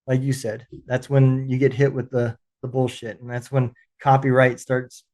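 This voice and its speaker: A man's voice: medium pitch, level tone, darkish tone. Brief: male podcast host, veteran, talks in stop-start bursts, ordinary mid voice. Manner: halting, frequent restarts and fillers. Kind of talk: casual interview chat.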